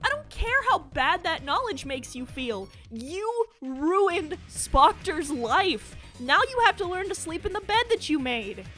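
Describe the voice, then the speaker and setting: A woman's voice: very high voice, very animated, a little slow, hardly any pauses, sharp tone. A young woman delivering scripted commentary as an emphatic, accusatory rebuke peppered with swearing. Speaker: video commentator. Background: background music.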